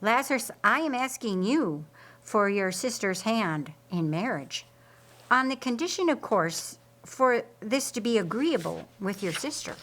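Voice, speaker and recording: A woman voicing a solemn male householder in a story, speaking formally and deliberately. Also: mid-range voice, lively intonation, fairly bright tone, low-quality recording. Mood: formal, hopeful.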